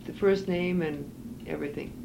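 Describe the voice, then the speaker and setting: A woman's voice: low voice, normal speed, vocal fry. A woman conducting an oral history interview in an apartment, patiently prompting and repeating her questions. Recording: hissy 1980s cassette tape.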